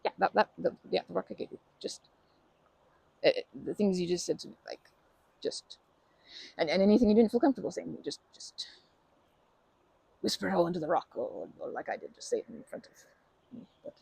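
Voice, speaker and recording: A woman acts out a timid, caring young woman offering comfort in an audio roleplay. Her voice is full of gravelly fry and slightly husky, recorded dry with hardly any room sound. She speaks haltingly, tripping over her words and apologizing as she consoles. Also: lowish voice, mellow, no echo, exaggerated voice, low-quality recording. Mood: encouraging. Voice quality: creaky. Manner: hesitant, stammering, apologetic, halting starts. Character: timid, kind young woman, gently comforting a park acquaintance. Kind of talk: ASMR roleplay, intimate comforting talk.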